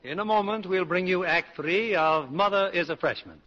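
A man's voice: sits high, normal speed, big-room sound. A male announcer delivering scripted narration in the style of 1940s radio. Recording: lo-fi 1940s radio broadcast, loud music.

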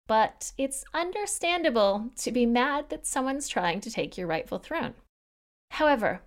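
A woman hosting a history podcast, reading scripted narration in an explanatory way with casual, lightly wry asides. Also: a little high.

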